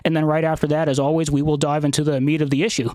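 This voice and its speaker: A man's voice: a little high, flat delivery, very fast, darkish tone, dry recording. A man hosting a podcast interview, talking in a hesitant way with many fillers and restarts. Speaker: podcast host.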